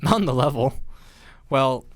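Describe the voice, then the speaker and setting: A romantic young lady of means, played by a woman in an old-fashioned radio play. She is arch and coy, with lively intonation and a dry sound. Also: a bass voice, measured pace, no echo. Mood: coy, wistful. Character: teasing, romantic young lady of a wealthy family.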